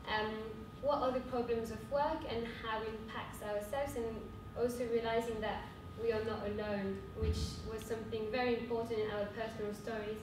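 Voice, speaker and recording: A young woman with a French accent giving an earnest conference talk, pausing often with fillers. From France. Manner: earnest, hesitant with frequent fillers. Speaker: cooperative speaker. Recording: echoey hall from far off with rumble.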